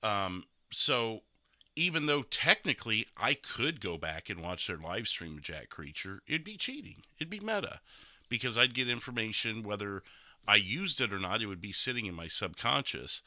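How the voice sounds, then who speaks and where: A man's voice: lowish voice, sing-song, moderate pace, sharp tone, no room sound, clean studio sound. A man who hosts a streaming podcast, chatting casually in a rambling, emphatic way. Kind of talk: casual chat with role-play review.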